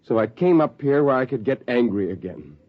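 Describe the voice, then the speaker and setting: An actor playing a bitter, haunted rebel astronaut with brooding, defiant intensity in 1950s radio drama style. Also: male voice, medium pitch, lively intonation, fairly quick, natural voice. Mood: defiant, intense. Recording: dull, hissy 1950s radio recording.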